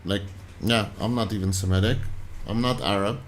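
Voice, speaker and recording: A man's voice: fairly low pitch, fairly even intonation, normal speed, slight background noise. A man from Iran, hosting a livestream, commenting casually and thinking aloud as he reacts to his chat. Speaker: livestream host.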